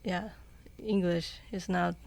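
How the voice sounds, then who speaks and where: A woman's voice: on the low side, steady tone, gravelly, a little mellow. Giggly young woman. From Taiwan. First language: Mandarin Chinese. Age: young adult. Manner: halting, giggly, searching for English words. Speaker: guest, married student. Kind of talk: casual podcast chat.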